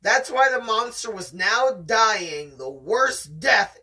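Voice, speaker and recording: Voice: mid-range voice, expressive, slow pace, pushed and bright, distant mic. A host reading a novel aloud in an amateur, casual storytime style, chatting along the way.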